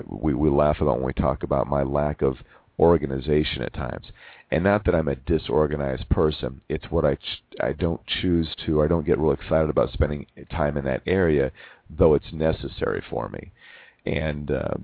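A man in his forties, hosting a reflective personal monologue, who talks haltingly with many restarts and repeated words. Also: extremely low pitch, creaky, dry recording. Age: 40s. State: losing his voice from overwork. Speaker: podcast host. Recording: narrow phone-like sound.

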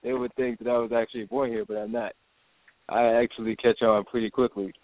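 A man from Jamaica, a guest in an interview, talking haltingly with many restarts. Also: medium pitch, little pitch movement, dry recording. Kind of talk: casual interview talk. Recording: phone line, dry.

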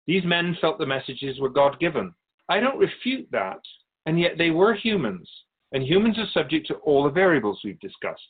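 A man playing a wise spirit who keeps souls in a radio drama, teaching in measured explanations with pointed asides. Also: fairly high pitch, very animated, husky, narrow, tinny audio. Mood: patient, instructive. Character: ancient male spirit Keeper of Souls, wise storytelling teacher. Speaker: interviewed guest. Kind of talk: scripted radio drama dialogue.

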